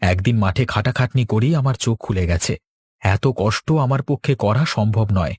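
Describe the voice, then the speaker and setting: A man's voice: on the low side, moderate pace, darkish tone, slightly rough, no echo, clean studio sound. A man playing an earnest, resolute young village farmer, a dutiful son, in a cartoon. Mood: resigned, dutiful. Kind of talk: Bengali cartoon dialogue.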